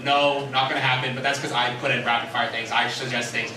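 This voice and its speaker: A man talking casually and haltingly as a convention panelist, often rephrasing as he goes. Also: mid-range voice, level tone. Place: large echoing convention panel room. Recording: echoey hall, distant mic, loud room noise.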